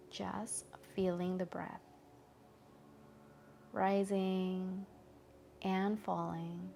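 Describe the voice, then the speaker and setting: Slow, softly creaky woman guiding meditation. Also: medium pitch, a little hiss or hum. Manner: gentle, soothing, soft-spoken with long pauses. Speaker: meditation guide. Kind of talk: guided meditation narration.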